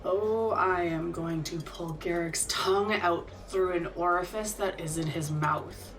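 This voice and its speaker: A jittery young ferret shifter, voiced by a woman, who stammers and frets but is quick to threaten. She speaks slowly and sits back from the mic. Faint music plays behind her. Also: on the low side, fairly bright tone, far from the mic. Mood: angry.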